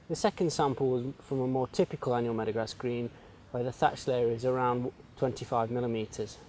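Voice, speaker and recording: A man's voice: mid-range voice, dark tone, slight background noise. A man explaining and demonstrating as an instructor in an educational film.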